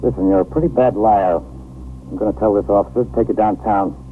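Casting: actor for intimidating American male police lieutenant, impatient and rapid-fire, fifties radio crime drama, thin noisy recording. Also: on the low side, fairly even intonation, phone-quality sound, natural voice. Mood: stern, threatening. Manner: clipped, blunt, intimidating. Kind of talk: 1950s radio drama acting.

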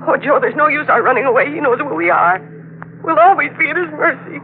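Very high-voiced frightened young bride. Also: female voice, big pitch swings, fast, a little hiss or hum. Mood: worried, tender. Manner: breathless, pleading, melodramatic. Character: frightened, pleading young bride, loyal to husband. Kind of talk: 1940s radio mystery acting. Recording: narrow-band old radio recording with music.

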